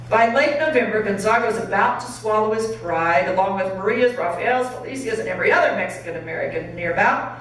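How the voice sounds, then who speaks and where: A woman, a pastor, reading a story aloud in an expressive, dramatic narrating style. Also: mid-range voice. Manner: dramatic reading, acting out dialogue. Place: church sanctuary. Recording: very echoey hall, distant, faint noise.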